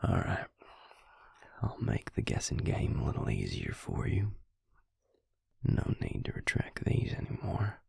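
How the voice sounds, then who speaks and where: A man playing a devious, smug male vampire in an intimate audio roleplay, taunting his victim slowly in a breathy, gravelly whisper. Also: deep voice, wildly swooping pitch, a little mellow, dry recording, clean studio sound, heavily acted. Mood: sly, taunting. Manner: slow, taunting, self-satisfied.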